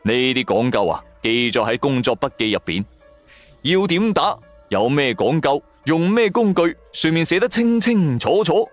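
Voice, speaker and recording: A man's voice: on the high side, sing-song, measured pace. A man with a Cantonese accent narrating a first-person audio drama in a colloquial, theatrical storytelling style. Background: background music.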